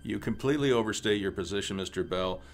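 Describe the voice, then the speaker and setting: A man playing a suavely threatening government official in a radio play, condescending, formal and deliberate. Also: fairly low pitch, soft background music, close to an everyday voice. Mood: veiled threat.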